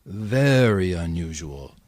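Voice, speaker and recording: A man's voice: low-pitched, sing-song, slow, darkish tone, no room sound, clean studio sound, heavily acted. A man playing a formal, pompously clinical physician in a 1940s-style radio comedy. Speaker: community theatre actor.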